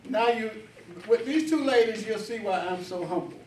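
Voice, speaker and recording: High-voiced older man in an echoey room. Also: animated, moderate pace, a little mellow. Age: 70s. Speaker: master of ceremonies. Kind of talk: informal event announcements. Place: echoey indoor gathering room. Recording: echoey room, distant mic, faint noise.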